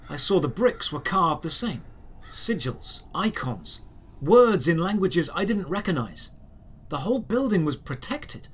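A man reading dramatic first-person fantasy narration as a storyteller, measured, with deliberate pauses. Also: fairly high pitch, slight background noise. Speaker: writer and narrator.

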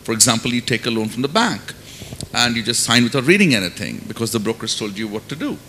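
A man's voice: lively intonation, quick pace, bright, forceful tone. A middle-aged man with an Indian accent speaks emphatically as a panelist, posing rhetorical questions into a handheld mic on a conference stage. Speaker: panelist, finance academic. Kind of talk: panel discussion remarks. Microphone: handheld microphone. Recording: faint noise and low rumble.

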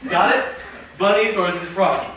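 A man playing an easygoing slacker in a staged reading, talking in halting slang. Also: high voice, fairly even intonation, pushed and bright. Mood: explaining. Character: easygoing young slacker explaining a party game. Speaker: amateur actor. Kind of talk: improvised staged reading before an audience. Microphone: far camera. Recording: echoey room from far off, very noisy.

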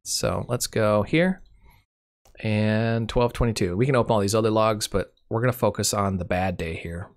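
A man's voice: on the low side, normal speed. A man with a cold and a fading voice, casually walking through a screen share off the cuff, correcting himself as he goes. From Michigan. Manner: unscripted, self-correcting, dry humor. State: has a cold, losing his voice. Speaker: channel host.

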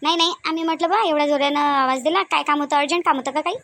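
A young daughter-in-law from a comedy cartoon acted by a woman speaks in a high voice with a Varhadi Marathi accent. She sounds wary and reluctant, quick to defend herself. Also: fairly even intonation, fairly bright tone, a little hiss or hum. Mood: defensive. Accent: Varhadi Marathi (Vidarbha).

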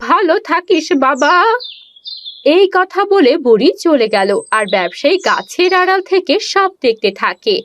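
A woman's voice: high voice, sing-song, normal speed, hardly any pauses, a bit bright, slight background noise. A woman narrating a scripted fairy tale as storyteller.

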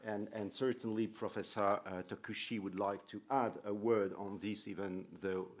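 A middle-aged man moderating a conference panel, halting and repeating words, with many fillers, into a table microphone. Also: on the low side, steady tone, darkish tone. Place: conference room.

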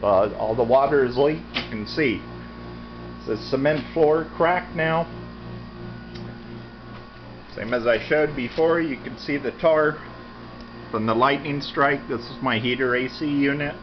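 An American man in his fifties giving a casual, rambling, matter-of-fact walk-through commentary into a handheld camera. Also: medium pitch. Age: 50s. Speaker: vlogger, farm resident. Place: indoors, cluttered concrete basement. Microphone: handheld camera at arm's length. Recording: dull lo-fi camera audio, loud background sound. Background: loud continuous radio playing.

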